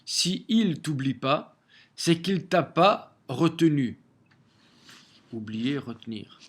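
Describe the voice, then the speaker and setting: Halting man with a cold, slow speech. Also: fairly high pitch, animated, breathy edge. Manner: halting, many repeated words and restarts. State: has a cold. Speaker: vlogger sharing daily messages. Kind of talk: casual home video monologue. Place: small room at home, facing a window.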